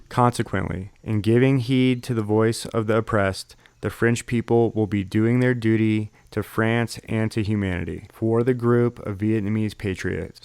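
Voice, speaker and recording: Male podcast host, low even voice, dry close recording, measured history narration. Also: clean tone, dry recording. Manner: measured, steady delivery with occasional fillers. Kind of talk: reading from documents and solo history podcast talk.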